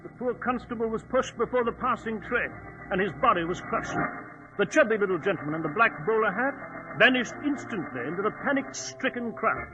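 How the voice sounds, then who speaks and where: An actor playing an earnest, protective English gentleman who tells his tale dramatically in a 1940s radio drama. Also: high-pitched, animated, a little fast, background noise. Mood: grave storytelling. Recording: dull old radio recording.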